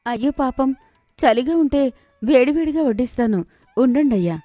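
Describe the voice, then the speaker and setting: A woman voicing a kind, humble, respectful poor village wife in an animated moral story. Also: sits high, normal speed, no echo, soft background music, voice pushed up. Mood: sympathetic. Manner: gentle, earnest, deferential.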